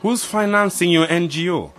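An actor playing a pompous, self-important government official in a radio drama. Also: male voice, sits high, moderate pace, a bit bright, soft background music. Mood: suspicious.

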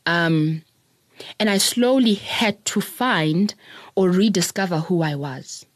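A woman's voice: lowish voice, takes it slowly, no echo, studio quality. A South African woman telling her personal story as a podcast guest, thoughtful, often laughing and repeating words as she thinks.

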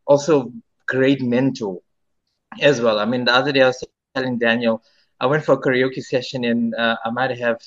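A man's voice: mid-range voice, fairly even intonation, moderate pace, lo-fi sound. A South African singer in his thirties, answering interview questions in a rambling way with many restarts. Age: 30s. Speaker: singer-songwriter guest.

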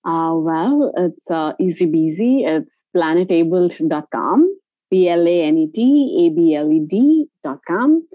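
A woman with an Indian accent answering casually as an interview guest, halting with frequent hesitations. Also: lowish voice, lively intonation, no room sound, dull audio. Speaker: interview guest, travel company founder.

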